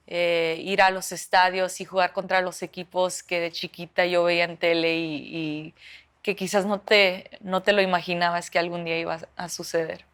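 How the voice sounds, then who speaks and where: A woman's voice: fairly low pitch, a bit bright, clear, resonant tone. A young woman from the United States answering interview questions, hesitant, with many fillers and restarts. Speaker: professional footballer.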